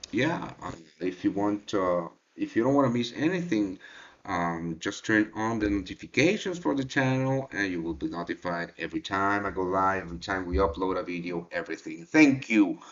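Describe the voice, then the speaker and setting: A man hosting a trading livestream in a relaxed, halting way, with many fillers as he answers the chat. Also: low-pitched. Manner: reads and answers chat directly. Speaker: trading show host. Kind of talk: casual livestream market talk. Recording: dull lo-fi livestream audio.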